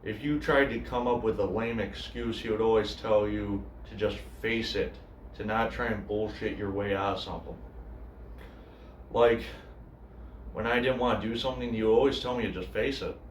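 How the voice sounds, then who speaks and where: A man performs a subdued, grieving cook in a naturalistic dramatic monologue. He speaks quietly and hesitantly, with broken pauses. The room around him is echoey, with faint noise. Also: on the low side, fairly even intonation, distant mic, voice pushed down, lightly acted. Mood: wistful, quiet. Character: grieving male cook, subdued, haunted by his brother. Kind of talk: dramatic monologue, naturalistic screen-style acting.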